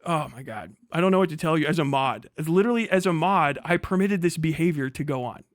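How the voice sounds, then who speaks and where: An agitated man delivers a profane livestream rant in a voice a little higher than most men's. The sound is dry and close, and he speaks vehemently, moving between reacting and reading messages aloud. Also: lively intonation, fast talker, no room sound. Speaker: livestream host.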